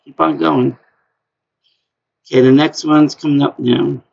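A middle-aged man with a high-pitched voice hosting a live-stream trivia game from a small room at home, talking slowly and repeating himself in a chatty way. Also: fairly even intonation, vocal fry, darkish tone, highs cut off. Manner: slow, repetitive, chatty.

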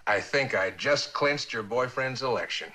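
Guy acting as burly middle-aged political fixer, brusque n sarcastic, sharp forceful voice, crime drama. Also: mid-range voice, animated, normal speed. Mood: sarcastic, scolding. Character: burly, domineering middle-aged male campaign manager, type A bear.

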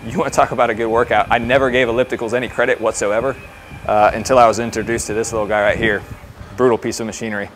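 A man's voice: mid-range voice, fairly even intonation, rapid-fire, fairly bright tone. A man in his thirties talking casually and explaining things as a trainer showing colleagues around a facility. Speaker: fire department fitness trainer giving a facility tour. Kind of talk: informal walk-through presentation to colleagues. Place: indoor gym with hard floors. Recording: clear background noise of a gym.

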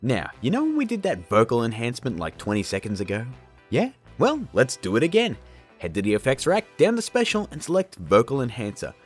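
A man in his thirties with a husky, mid-pitched voice presents a how-to video. His delivery swings widely in pitch, informal and jokey, with stress on key words. Faint music plays behind him. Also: huge pitch swings, brisk. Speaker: video tutorial host. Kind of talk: casual software tutorial narration.

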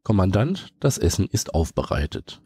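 A calm spaceship computer from an audio drama, acted by a man. The voice is low, dark and rough-edged, recorded very dry. It delivers formal reports in a measured, dutiful way. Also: no room sound, exaggerated voice. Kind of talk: science fiction audio drama.

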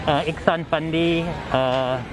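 A man's voice: on the high side, steady tone, slow pace, a crowd in the background. Hesitant male fan amid street noise. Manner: hesitant, thoughtful. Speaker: football fan. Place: outdoors near a stadium. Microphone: handheld mic held out by reporter. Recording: noisy street outdoors.

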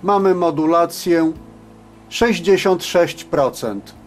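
A man giving a scripted technical narration as a reviewer, in a measured, matter-of-fact way. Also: fairly high pitch, soft background music. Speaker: reviewer of CB radios.

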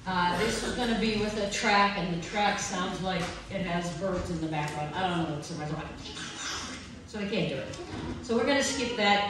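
An older woman leads a choir and talks the audience through the program in a casual, chatty way. She is heard from the pews of a large church, far from the camera, with heavy echo and steady background noise. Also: medium pitch. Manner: chatty, informal, self-deprecating. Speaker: choir director. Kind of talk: announcing songs at a concert. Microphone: far phone camera in the pews. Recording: echoey hall from far off, noisy.

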